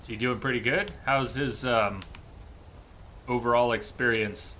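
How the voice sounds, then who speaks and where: A man's voice: mid-range voice, steady tone, unhurried, pushed and bright, slight background noise. A man giving casual, musing live commentary as he streams a video game. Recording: faint game rain behind voice. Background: game ambience and rain.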